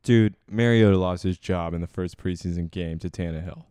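Blunt, joking young man, low mellow voice. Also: a little fast, clear, resonant tone, no room sound, clean studio sound. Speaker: podcast co-host. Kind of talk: casual sports chat.

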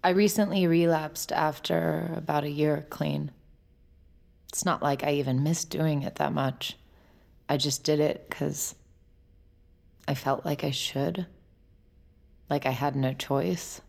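An actress playing a troubled, weary woman with a husky, gravelly voice, brooding and confessional in an intimate audio drama. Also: low voice, steady tone, takes it slowly, long pauses, darkish tone, dry recording, exaggerated voice. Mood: weary, hesitant. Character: troubled, weary, suspicious woman, a relapsed addict.

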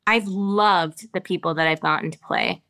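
An American woman, an actress with children of her own, giving reflective interview answers with many pauses and restarts. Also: on the low side, fairly even intonation, a bit bright. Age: adult with children. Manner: thoughtful, halting, many restarts and fillers.

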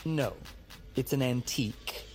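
A man's voice: mid-range voice, measured pace, over music, close to an everyday voice. A man playing a polite, formal, easily flustered small-town museum guide in an audio drama.

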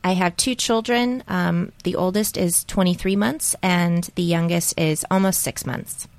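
A woman in her thirties introducing herself as a podcast panelist, quick and cheerful. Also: fairly low pitch, darkish tone, dry recording. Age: 30s.

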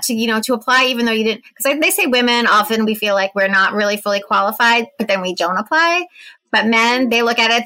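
A woman's voice: fairly high pitch, sharp tone. A woman interviewing a guest in a conversational style.

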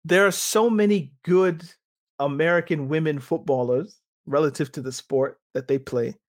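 A man in casual sports talk, his voice sitting a little high. He rambles with lots of restarts, fillers and lively asides, like a podcast co-host thinking aloud. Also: normal speed.

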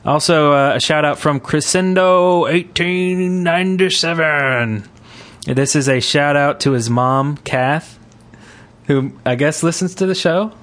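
A man hosting a podcast in a casual, chatty style, giving shout-outs to listeners. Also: a little high, measured pace. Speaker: podcast host. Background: music.

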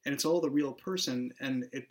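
A man speaking as a writer and podcast creator in a reflective, conversational discussion, earnest and a little halting as he works through his thoughts. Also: medium pitch.

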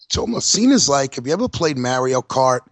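A man giving blunt, emphatic takes on a podcast, swearing freely as he makes his case. His voice has an ordinary male pitch and is recorded dry and close. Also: big pitch swings, a little fast, dry recording. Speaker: podcast co-host. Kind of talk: casual wrestling chat.

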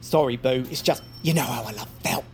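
A low-voiced, dopey villain's sidekick, eager and chatty. The creature defers to its boss in audio drama style, over faint background ambience. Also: female voice, a little fast, darkish tone, a little hiss or hum. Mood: eager, apologetic. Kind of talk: audio fiction acting.

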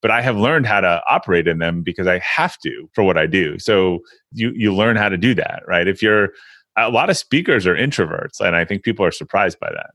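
Low-voiced male interviewer, close dry sound. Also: animated, a little fast, a bit bright, no room sound. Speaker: interviewer, host. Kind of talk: conversational interview.

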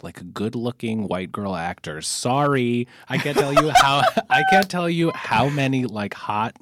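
A man's voice: mid-range voice, expressive, measured pace, heavy background noise. A man hosting a podcast, chatting casually with a guest, laughing often and restarting his sentences. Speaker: podcast host.

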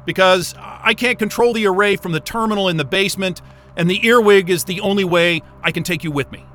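A frayed, stubborn scientist in a science fiction audio drama. His voice sits high and has a bright, tense edge. He is wry, foul-mouthed and easily agitated, with soft music underneath. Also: fairly quick. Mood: determined, wry. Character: frayed, stubborn, sardonic astrophysicist held in a lab. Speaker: lead actor in an audio drama. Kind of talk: naturalistic sci-fi audio drama acting.

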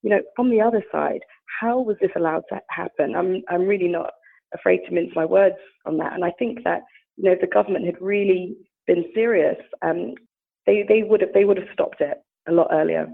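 A woman's voice: fairly low pitch, a little fast, vocal fry. A woman from the Bahamas with a British accent, speaking frankly as a journalist guest, with many "you know" fillers and restarts over a phone line. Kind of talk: phone interview, candid conversational.

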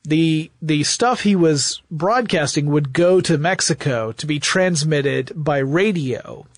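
High-pitched man telling history, dry sound. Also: animated, slow, no room sound. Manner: storytelling, emphatic repetition. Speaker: podcast co-host. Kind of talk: conversational history explainer.

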